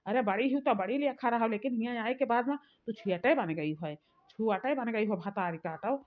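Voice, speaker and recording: A woman's voice: fairly high pitch, normal speed, close to an everyday voice. A woman playing a defiant, hot-tempered village sister-in-law in a cartoon comedy, grumbling in repeated phrases with an Awadhi accent. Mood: angry. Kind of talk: rustic cartoon comedy dialogue. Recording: dull lo-fi sound.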